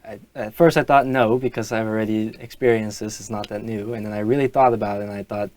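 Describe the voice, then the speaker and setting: A man's voice: on the low side, steady tone, fast talker, darkish tone, dry recording. A young man, a student teacher reflecting thoughtfully over a video call. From Texas, USA. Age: young adult. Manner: thoughtful, hedging with fillers. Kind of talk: casual reflection.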